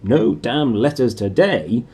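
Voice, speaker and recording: A man's voice: medium pitch, lively intonation, slow, darkish tone, a little hiss or hum. A man reading a storybook as a blustering, domineering middle-aged uncle who gives emphatic, clipped orders. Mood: smugly pleased.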